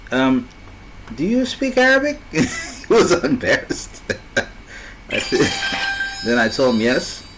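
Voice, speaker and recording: A man's voice: medium pitch, wildly swooping pitch, takes it slowly, long pauses, background noise. A young man from South Sudan telling stories in casual chat, hesitant with many fillers.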